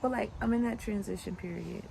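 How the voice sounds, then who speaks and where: A woman's voice: mid-range voice, a little fast, background noise. A woman in her late twenties chatting casually and reflectively outdoors in the country, rambling with lots of fillers. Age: late 20s. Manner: relaxed, rambling, many "like" fillers. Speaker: vlogger. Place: outdoors on a sunny morning in the country. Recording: clear outdoor ambience behind.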